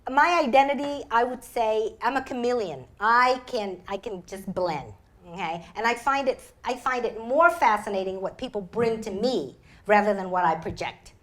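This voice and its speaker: A woman's voice: on the high side, moderate pace, fairly bright tone. A woman from Vietnam answers questions at a live event. She tells her stories with energy, laughs often and slips into voices for the people she quotes. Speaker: author guest. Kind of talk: live Q&A storytelling.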